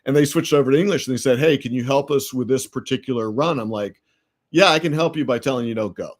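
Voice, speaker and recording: Man, middle-aged, American accent, storytelling, chatty interview guest, even mid pitch. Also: fast talker. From United States. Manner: storytelling, many restarts and fillers. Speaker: podcast guest, entrepreneur. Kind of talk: casual interview conversation.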